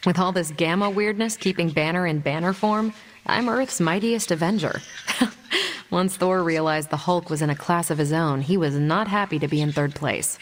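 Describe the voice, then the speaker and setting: Lowish-voiced, self-assured American superhero woman played by an actress. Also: moderate pace, slight background noise, natural voice. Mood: proud, playful. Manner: wry, casual, self-assured. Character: confident, easygoing superhero woman, ex-Air Force pilot. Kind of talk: video game dialogue acting.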